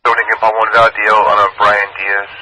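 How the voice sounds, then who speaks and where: A man's voice: fairly low pitch, completely flat delivery, fairly quick, pushed and bright. A man working as a police radio operator, businesslike and procedural in terse exchanges over two-way radio. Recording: noisy police radio with clicks.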